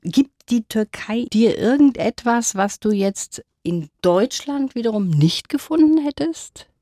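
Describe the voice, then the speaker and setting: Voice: medium pitch, animated, unhurried, dark tone, no echo. An interviewer with a mid-range voice asks friendly questions and keeps offering encouragement. The recording is dry and close, without room sound. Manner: encouraging, with frequent affirming remarks.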